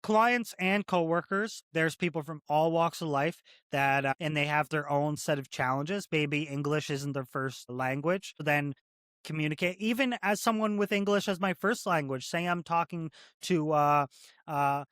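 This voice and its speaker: A man's voice: fairly high pitch, moderate pace, fairly bright tone, clear, resonant tone, no room sound, studio quality. A man in his thirties from Canada, a corrections officer guest talking casually and rambling, with many "like" and "right?" fillers. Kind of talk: casual interview conversation.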